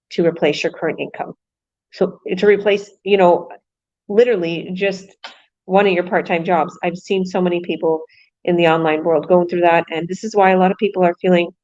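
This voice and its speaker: A woman hosting an online business talk, pitching with enthusiastic, repetitive phrasing. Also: darkish tone, dry recording, dull audio. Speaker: host. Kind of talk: motivational online show host.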